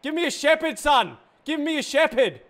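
A young man with a hoarse voice, reacting excitedly and yelling while playing a football video game. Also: very high voice, moderate pace. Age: young adult. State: hoarse, says he lost his voice. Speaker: gaming YouTuber. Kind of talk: reactive gameplay commentary. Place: indoor gaming room, seated in gaming chair. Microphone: headset, facecam.

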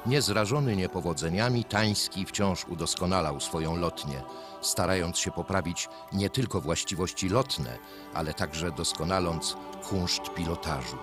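A man narrating a history documentary in a measured, scripted way. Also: low voice. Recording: voice-over over loud music.

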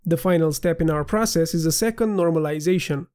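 Male tutorial narrator, a touch nasal, higher pitch, clear and steady. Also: fairly quick. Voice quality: slightly nasal. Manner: clear, steady instructional delivery. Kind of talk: scripted screen-recording tutorial voiceover.

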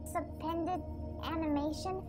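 A woman plays a small girl in a radio play, very high in pitch. She is curious and insistent, a pleading daughter at bedtime. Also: steady tone, slow, over music.

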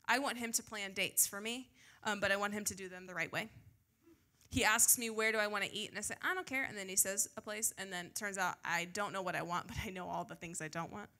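Bright-voiced American woman preaching casually. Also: medium pitch, fairly even intonation, quick pace. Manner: informal, self-deprecating, asks the audience rhetorical questions. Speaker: pastor preaching to a youth group. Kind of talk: conversational sermon with scripture reading. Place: church stage before a seated audience. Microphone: handheld microphone.

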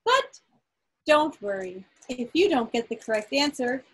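A woman acting as quizmaster, reading quiz questions aloud to an online group in a deliberate way, pausing between phrases. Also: high-pitched, very animated, fairly bright tone, slightly rough. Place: indoors on a group video call. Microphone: video call microphone.

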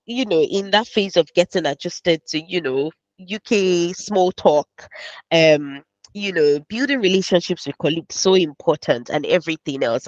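A woman's voice: on the low side, no echo. Brief: young Nigerian woman, Nigerian accent, candid panelist, dry muffled headset audio. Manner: candid, reflective, brisk asides. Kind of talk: webinar panel answer. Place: home office, virtual background. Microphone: headset mic on video call. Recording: dull dry video-call audio.

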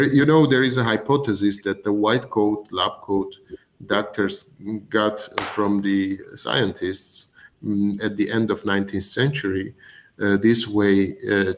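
A man in his thirties hosting a live online event from an office, speaking haltingly as he introduces guests. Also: fairly low pitch. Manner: halting, searching for words. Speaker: host. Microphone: video call.

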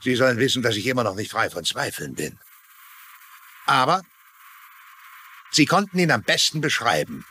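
An actor playing a weary, sardonic small-town sheriff in a dubbed TV crime drama, speaking in an official tone. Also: male voice, medium pitch, sing-song, moderate pace, long pauses, sharp tone, slight background noise. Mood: fed up.

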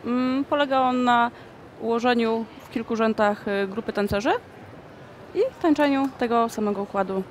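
A young woman, a dance choreographer, answering interview questions in a conversational way with frequent pauses, recorded in a shopping mall. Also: fairly high pitch, a little hiss or hum.

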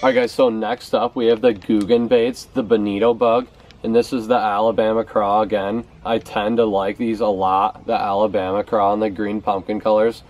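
Easygoing young man, fairly low voice. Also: flat delivery, normal speed. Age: young adult. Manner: easygoing, repeats words for emphasis. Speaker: fishing vlogger. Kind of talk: casual product talk to camera. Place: outdoors on a house's back porch steps.

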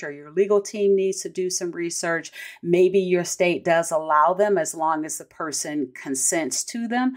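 A middle-aged American woman hosting a solo podcast, explaining things in an instructive, conversational way. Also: lowish voice. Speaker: podcast host.

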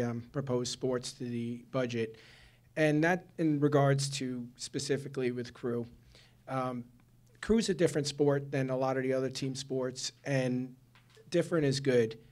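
Middle-aged dad at the comment mic, earnest, lots of ums, mid voice, big meeting room. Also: male voice, medium pitch, steady tone, a little mellow. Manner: earnest, halting, many fillers. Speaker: parent giving public comment. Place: large public meeting room.

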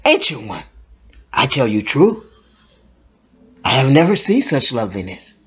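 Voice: mid-range voice, sing-song, a little slow, a bit bright, slightly rough, highs cut off, heavily acted. A storyteller voicing a frightened young woman who trembles and sobs with tears and shaky laughter. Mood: tearful awe. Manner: sobbing, breaking into shaky laughter.

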